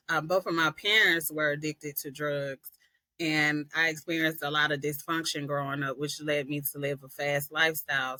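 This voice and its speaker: A woman from Atlanta, a podcast guest earnestly telling her personal story with frequent pauses and "um"s. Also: deep voice, bright, forceful tone, dry recording. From Atlanta, Georgia, US. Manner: earnest, reflective, many "um"s. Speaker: podcast guest, peer specialist.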